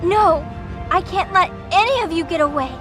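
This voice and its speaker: A woman's voice: extremely high pitch, moderate pace. A woman playing a determined young female officer in an audio drama, commanding and righteously angry. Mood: defiant, urgent. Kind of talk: dramatic audio story dialogue. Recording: music under the voice.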